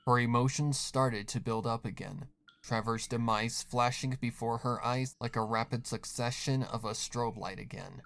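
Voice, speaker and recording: A man reading scripted third-person horror fiction as the narrator. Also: mid-range voice.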